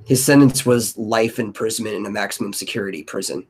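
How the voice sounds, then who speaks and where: A man's voice: on the low side, fast, breathy edge. A man in a casual brainstorming chat, explaining things and thinking aloud as a stream host.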